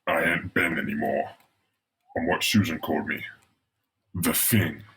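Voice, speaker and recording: A man voicing a gruff, bitter, combative brawler turned hulking monster in a dramatic comic-book reading. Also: steady tone, measured pace, fairly bright tone, slight background noise. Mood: gruff, resolved. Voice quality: gruff, low voice. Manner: combative, sneering tough-guy talk.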